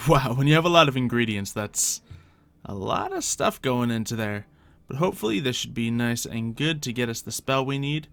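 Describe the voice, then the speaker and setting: A man's voice: mid-range voice, expressive, normal speed, vocal fry, fairly bright tone. A man giving casual, improvised gameplay commentary in character, chatting to himself and thinking aloud as he goes. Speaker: gaming video host playing in character.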